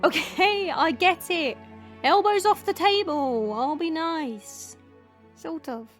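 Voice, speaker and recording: A woman acts out a cheeky, restless female vampire in an audio drama. Her voice is high and swings widely as she teases with playful sarcasm. Music plays faintly underneath. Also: animated, unhurried. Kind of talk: audio roleplay acting.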